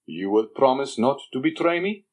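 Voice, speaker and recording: A man voicing an aging schemer who poses as a dignified father, reading in a measured, stately way. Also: mid-range voice, animated, a little mellow, pitched above the performer's own voice, lightly acted. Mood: guarded. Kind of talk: audiobook character reading.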